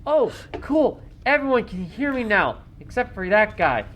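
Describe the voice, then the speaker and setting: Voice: mid-range voice, sing-song, measured pace, a bit bright, slight background noise, pitched above the performer's own voice. A game master voicing a small talking frog, friendly, blunt and eager, with bouncy, repetitive speech in a tabletop radio play. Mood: friendly, excited.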